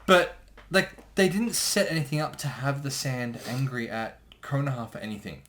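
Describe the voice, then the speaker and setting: A man chatting casually as a commentator, thinking aloud in a halting way. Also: mid-range voice, fairly bright tone. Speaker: creator giving episode commentary.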